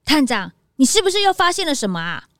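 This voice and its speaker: A woman voicing a curious, sharp young detective's sidekick with a childlike manner in a children's audio drama. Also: sits high, big pitch swings, fast talker, no echo, studio quality. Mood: inquisitive.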